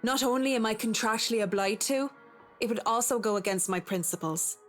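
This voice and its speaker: A woman's voice: fairly high pitch, brisk, faint music behind, close to an everyday voice. A woman playing a discreet, frank, unflappable professional in a video game, with a formal, businesslike manner. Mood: composed, frank. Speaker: voice actor in a visual novel. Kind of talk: video game dramatic acting.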